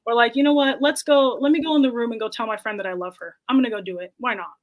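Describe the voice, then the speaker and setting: A woman's voice: on the high side, fairly even intonation, fast talker. A woman in her twenties, a podcast guest, talking candidly and reflectively in casual conversation. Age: 20s. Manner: candid and reflective, quotes her own past thoughts.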